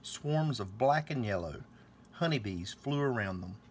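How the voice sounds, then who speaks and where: A man's voice: lowish voice, expressive, unhurried, a little hiss or hum. A man reading aloud a children's storybook in a scripted narration. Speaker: storybook reader.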